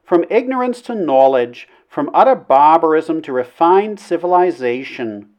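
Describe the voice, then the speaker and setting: Storybook princess, played by a man: raised pitch, amorous, cooing, a touch condescending. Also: lively intonation, measured pace, darkish tone. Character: amorous, coaxing princess courting a shy man. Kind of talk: audiobook character dialogue.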